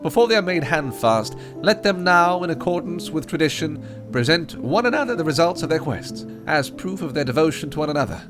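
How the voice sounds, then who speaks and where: A man's voice: a little high, big pitch swings, brisk, few pauses. A man playing a formal wedding officiant at a fae court who speaks in ceremonial, archaic address. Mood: solemn. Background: music.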